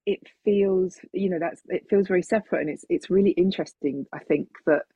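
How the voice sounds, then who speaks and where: A woman's voice: fairly low pitch, a little fast, husky, dry recording. A middle-aged woman in reflective, casual conversation, speaking thoughtfully and hesitantly on a muffled online-call recording. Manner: hesitant, thoughtful, chuckles while talking.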